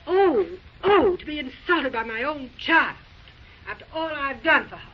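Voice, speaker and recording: A woman's voice: high-pitched, animated, measured pace. An actress playing a snobbish, melodramatic middle-aged mother in an old radio drama, on a dull, faintly noisy recording. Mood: outraged. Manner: haughty, theatrical. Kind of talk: 1940s radio drama acting.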